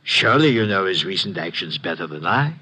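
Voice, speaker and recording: An actor playing an evasive, wealthy older uncle with a husky voice in a 1950s radio drama. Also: male voice, sits low, big pitch swings, a little fast, bright, forceful tone, exaggerated voice.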